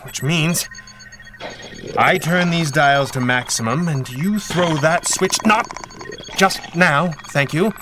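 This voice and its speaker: An actor playing a cool-headed 1930s masked superhero in radio serial style, speaking in a measured, articulate and wry way. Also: male voice, on the high side. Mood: brisk, wry. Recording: clearly noisy background ambience.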